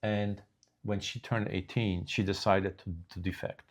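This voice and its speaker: Deep-voiced older man, halting and unhurried. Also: flat delivery. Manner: slow, halting delivery with many restarts. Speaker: co-host. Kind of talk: casual chat.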